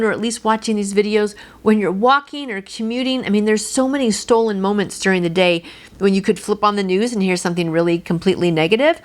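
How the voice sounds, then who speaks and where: A middle-aged woman hosting a devotional, reading and then encouraging the listener in an earnest, personal, informal way. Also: medium pitch. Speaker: devotional host and reader.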